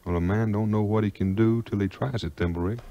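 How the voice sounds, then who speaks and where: A man acting a calm, folksy frontier hero with a Southern drawl in a 1950s record audio play. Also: fairly low pitch, highs cut off, very noisy, lightly acted. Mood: assured, wry. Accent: American Southern frontier drawl. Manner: unhurried, plainspoken, homespun.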